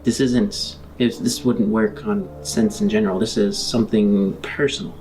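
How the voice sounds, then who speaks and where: A man's voice: fairly low pitch, normal speed, mellow, faint music behind, poor audio. A man narrating an improvised tabletop game as game master.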